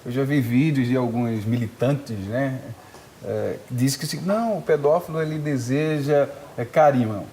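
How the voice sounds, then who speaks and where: A man from Pernambuco with a Northeastern Brazilian accent, a psychologist guest explaining in a measured way on a studio talk show. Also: mid-range voice, warm tone, slight background noise. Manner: measured explanation with frequent filler tags.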